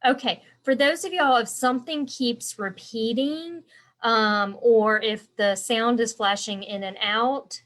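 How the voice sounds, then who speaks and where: A woman's voice: on the high side, a bit bright. Chatty congested woman. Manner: chatty and rambling, answering viewer comments by name. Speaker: embroidery and quilting instructor hosting a live stream. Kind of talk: casual live-stream chat with viewers.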